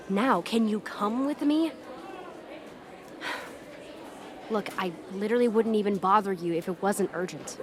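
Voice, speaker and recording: A woman playing a bossy, easily flustered teenage popular bully in an audio roleplay, exasperated and pushy, halting when embarrassed. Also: mid-range voice. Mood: grudging, irritated. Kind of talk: acted ASMR roleplay monologue to the listener.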